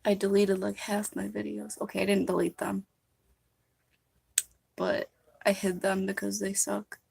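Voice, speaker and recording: Soft-spoken husky young woman streamer. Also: medium pitch, monotone, measured pace, dry recording. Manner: rambling, soft-spoken and musing. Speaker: vlogger live streaming makeup. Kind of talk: casual live stream chat.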